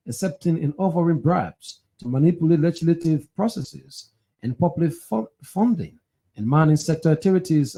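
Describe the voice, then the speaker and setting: A man's voice: on the high side, mellow, poor audio. A man reading scripted news narration as a newsreader. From Liberia.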